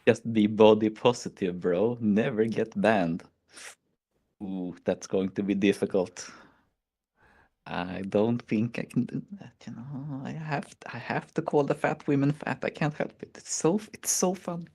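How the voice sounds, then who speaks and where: Very low-voiced Swedish man chatting. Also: measured pace, dark tone. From Sweden. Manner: rambling, mocking, frequent "like" and "you know". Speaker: streamer. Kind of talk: casual chat.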